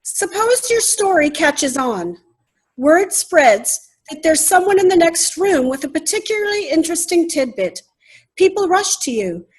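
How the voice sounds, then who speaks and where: A woman playing a critical, pedantic, dryly scornful character in readers theater, pointed and deliberate. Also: very high-pitched, very animated, heavily acted, rough recording. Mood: scornful, building.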